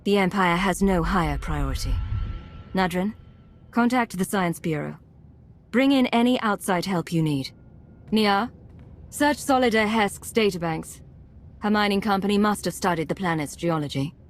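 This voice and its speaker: A woman voicing a resolute female agent who commands a covert team in a video game, speaking with measured authority. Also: mid-range voice, expressive, natural voice. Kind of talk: scripted video game acting.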